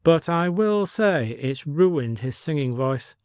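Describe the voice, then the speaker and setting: A man's voice: fairly high pitch, lively intonation, slow, clean tone, no echo, studio quality, voice pushed up. A man voicing a prim, bossy upper-class village lady with a commanding, formal manner in an audio story. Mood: prim, firm.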